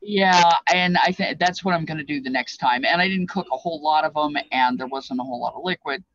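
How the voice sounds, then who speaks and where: A woman's voice: sits low, fast talker, a bit bright, dry recording, muffled recording. An older woman talking informally and reflectively, explaining things in a rambling way with bits of reminiscence. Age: older, past fifties. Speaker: presenter explaining feast dishes. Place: small cluttered craft room, indoors. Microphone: webcam at desk distance.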